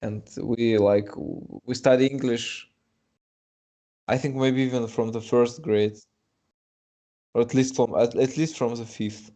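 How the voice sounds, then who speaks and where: A man's voice: medium pitch, fairly even intonation, a little slow, long pauses, dark tone. A young man with a Russian accent, a native Russian speaker, doing live chess commentary in English, chatty and hesitant with many fillers.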